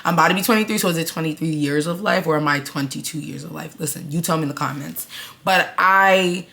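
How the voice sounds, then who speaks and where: A woman's voice: low voice, fast, gravelly, sharp tone. A woman in her early twenties talking straight to her viewers in a casual vlog, blunt and emphatic. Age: 20s. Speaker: vlogger. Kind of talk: casual vlog talk to camera.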